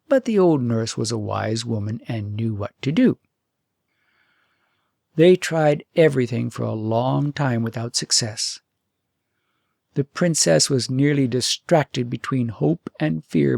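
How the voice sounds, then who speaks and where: A man narrating a fairytale audiobook in an expressive, deliberate storytelling manner. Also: on the high side, gravelly, no echo, clean studio sound.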